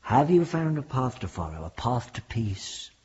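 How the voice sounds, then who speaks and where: An actor playing a kindly, pious country reverend in a radio drama, solicitous and old-fashioned in manner. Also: male voice, medium pitch, sing-song, normal speed, darkish tone, slight background noise, close to an everyday voice. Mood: gentle concern. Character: kindly elderly country reverend, gentle and pastoral. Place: reverberant church on location. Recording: long echo, muffled, lo-fi.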